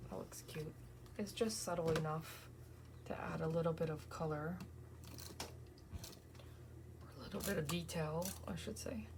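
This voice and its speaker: A woman in her thirties, tired from an early morning, softly thinking aloud and often whispering as she works on a scrapbook page. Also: low-pitched, steady tone, measured pace, pauses often, background noise. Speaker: scrapbooking crafter. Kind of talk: casual crafting vlog narration.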